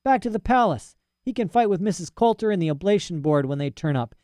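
A man reading a story aloud and voicing a defiant young girl on the edge of tears, with rough, ungrammatical speech. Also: sits high, animated, a little fast, a little mellow, no echo, studio quality, pitched above the performer's own voice. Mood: tearful outburst. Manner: rough working-class speech, indignant.

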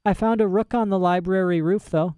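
Brief: man reading as a defiant little girl, raised pitch, soft mellow tone, dry close sound. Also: fairly even intonation, a little fast, clean tone, no room sound, close to an everyday voice. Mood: eager. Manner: mumbling, evasive, then eager. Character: curious defiant young girl.

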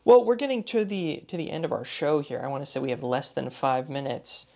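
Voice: medium pitch, a little fast, vocal fry, warm tone. A radio show host interviewing a guest, asking curious, rambling questions full of likes and fillers.

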